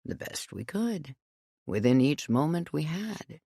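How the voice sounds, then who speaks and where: A woman reading voices a gentle, kindly elderly man who speaks softly, calmly and patiently. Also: deep voice, unhurried, creaky, slightly rough, dry recording, studio quality, exaggerated voice.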